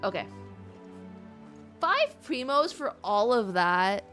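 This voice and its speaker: A woman's voice: mid-range voice, big pitch swings, slow pace, a bit bright, soft background music. A woman game streamer giving casual, reactive live commentary, informal and repeating phrases for emphasis.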